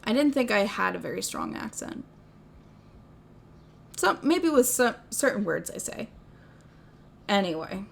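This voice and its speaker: A woman's voice: medium pitch, very animated, measured pace, gravelly, pauses often, a little hiss or hum. A young woman with a Midwestern accent, chatting casually on a livestream, rambling and self-deprecating, laughing often. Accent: Midwestern American. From American Midwest. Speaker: streamer.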